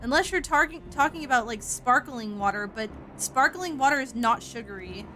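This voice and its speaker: Woman streamer, light southern accent, chatty and profane, bright fairly high voice. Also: expressive, moderate pace, faint music behind. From Southern United States. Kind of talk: casual gaming stream chat.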